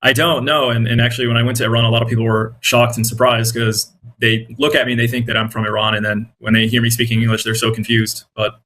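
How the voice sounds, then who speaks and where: A man's voice: medium pitch, flat delivery, fast talker, hardly any pauses, a bit bright, low-quality recording. A man with an American accent answering interview questions conversationally, explaining things in an earnest way. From born in Iraq, grew up in the United States. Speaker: interview guest, independent journalist and content creator.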